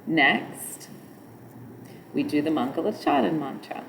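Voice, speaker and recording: A middle-aged woman with a nasal voice sits somewhere a little low in pitch, teaching a yoga class. She explains at a loose, meandering pace, trailing into asides. A faint hiss runs under the recording. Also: sing-song, unhurried, gravelly, rough recording. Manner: chants mantras in a nasal sung tone between explanations. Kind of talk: spoken yoga instruction.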